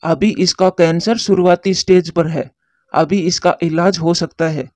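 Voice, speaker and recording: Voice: medium pitch, fairly even intonation, a little slow, darkish tone, no room sound, studio quality, lightly acted. A voice actor playing a male owl doctor in a cartoon, grave and lecturing. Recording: dull lo-fi voice track.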